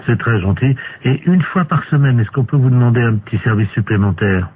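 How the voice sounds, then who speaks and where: A man acts out a straight-faced male court official with a halting, bureaucratic way of talking. His pitch sits in the middle, and the call is tinny with some hiss. It is an improvised phone prank played completely seriously. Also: natural voice. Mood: deadpan, official. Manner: hesitant, official, deadpan. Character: deadpan male court official making absurd requests. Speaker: prank caller.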